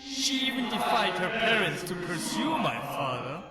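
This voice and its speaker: A man voicing a stoic, loyal ghost mercenary archer in a fantasy game, speaking in a measured, formal way. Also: high-pitched, sing-song. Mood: fond, reflective. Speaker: game follower character. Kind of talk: scripted fantasy game dialogue. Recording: echoey voice under loud music.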